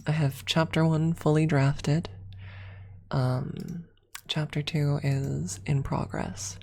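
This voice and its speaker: A woman streamer speaking softly and breathily in an ASMR style, close to the microphone, often slipping toward a whisper. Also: low voice, level tone, slow pace. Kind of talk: ASMR, casual chat and soft story reading.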